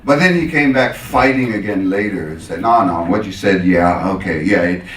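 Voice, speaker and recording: A man's voice: low-pitched, moderate pace, slight background noise. A male lecturer from the United States speaks in an echoey room, picked up from some distance. He answers questions in an anecdotal way, acting out both sides of conversations. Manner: animated anecdotal telling, acting out quoted exchanges. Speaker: academic lecturer taking audience questions. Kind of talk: conference talk with question and answer. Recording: roomy echo, distant microphone.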